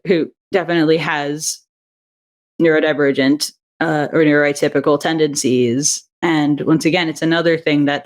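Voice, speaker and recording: Woman, audio drama creator, interview guest; thoughtful, meandering delivery. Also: deep voice. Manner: thoughtful, rambling, with fillers and small laughs. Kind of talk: casual interview chat.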